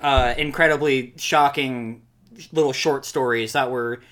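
A man's voice: mid-range voice, steady tone, measured pace, fairly bright tone. A man co-hosting a podcast, chatting casually and informally with a joking, sweary manner. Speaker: podcast host.

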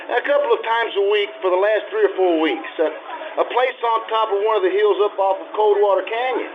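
Casting: a man playing an eager chauffeur, high-voiced, tinny and hissy. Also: fairly quick, close to an everyday voice. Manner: rambling, hesitant, full of fillers. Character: eager male chauffeur, chatty and helpful. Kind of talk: stage recreation of 1950s radio drama.

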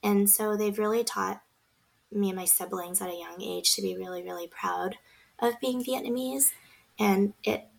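A woman's voice: medium pitch, fairly even intonation, normal speed, vocal fry. A woman in her thirties, a podcast guest, talking in an earnest, reflective way with many fillers. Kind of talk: casual conversation.

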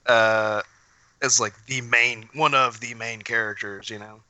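Male podcast panelist, crisp and very forward tone, informal conversational delivery. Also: medium pitch, fairly even intonation, a little slow, bright, forceful tone, dry recording. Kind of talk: casual pop-culture chat.

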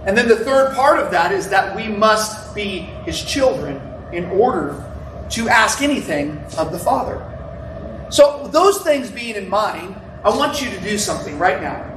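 A man preaching a sermon to his congregation without a microphone, talking in a loud, conversational way with asides to the people. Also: high voice, lively intonation, a little slow, noisy background. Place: church sanctuary. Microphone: no microphone, recorded from a distance. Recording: roomy, distant church recording.

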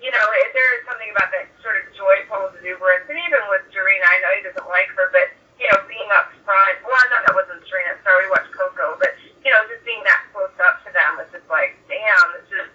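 A woman chatting casually as a podcast guest, gushing and rambling excitably over a tinny remote call. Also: medium pitch, fast, few pauses, far from the mic. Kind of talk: casual chat.

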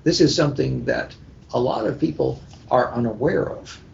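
An older man speaking as an editor on a podcast panel, emphatic and deliberate, often pausing mid-sentence. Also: creaky, a little mellow. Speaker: book editor, podcast guest. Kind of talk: panel discussion on a live podcast. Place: hotel at a convention. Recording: dull, noisy internet-call recording with rumble.

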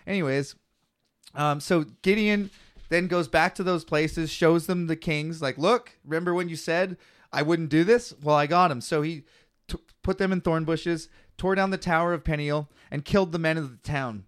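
Young male podcast co-host, slightly higher voice, reads scripture out and riffs on it. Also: moderate pace, clean tone. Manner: reads Bible passages aloud between joking asides. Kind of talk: casual podcast chat.

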